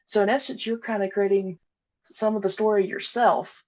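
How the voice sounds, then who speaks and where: A woman's voice: mid-range voice, fairly even intonation, moderate pace, a little mellow, dull audio. A woman being interviewed about her podcast, talking casually and hesitantly with many "uh" and "um" fillers. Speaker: podcast guest, audio drama creator.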